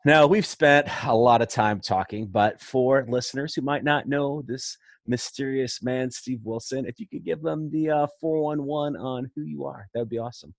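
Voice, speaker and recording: A man's voice: mid-range voice, moderate pace, vocal fry, hardly any pauses. A man hosting a podcast in an upbeat, effusive way, introducing and chatting with his guest. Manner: enthusiastic, effusive. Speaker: podcast host.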